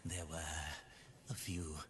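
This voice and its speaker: Deep, slow, sly demon merchant. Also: male voice, steady tone, noisy background. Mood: taunting menace. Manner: drawn-out, theatrical, taunting. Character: sly, hungry demon merchant, mocking and menacing. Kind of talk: video game cutscene acting.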